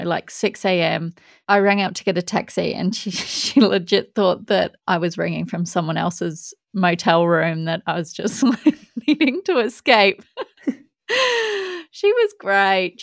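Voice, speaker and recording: A woman chats upbeat on a podcast, laughing often. Her voice sits in a middle pitch. The sound is dry and close to the mic. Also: gravelly, no room sound. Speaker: podcast co-host. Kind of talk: casual chat.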